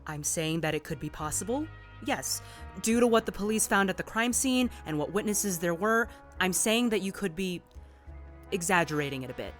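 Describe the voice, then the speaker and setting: A woman's voice: lowish voice, lively intonation, moderate pace, soft background music, lightly acted. A woman playing an earnest, uneasy investigator in an audio drama, speaking in an insistent, questioning way. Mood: insistent disbelief.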